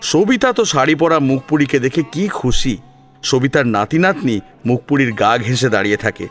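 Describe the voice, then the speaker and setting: Expressive man narrating over music. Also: medium pitch, moderate pace. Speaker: audio story narrator. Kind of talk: scripted story narration with expressive delivery. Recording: music behind the voice. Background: instrumental music with plucked strings.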